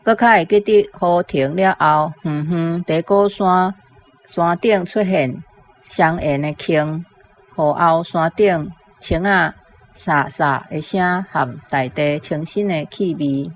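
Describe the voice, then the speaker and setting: A woman's voice: lowish voice, slow pace. A woman with a Taiwanese accent, a language teacher, reciting a translated literary sentence. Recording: faint background noise, ambience.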